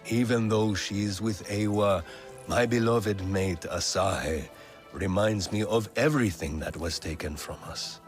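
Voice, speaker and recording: An actor playing a stern, grieving middle-aged male alien clan elder in a video game cutscene, speaking in a grave, measured way. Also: lowish voice, soft background music, close to an everyday voice.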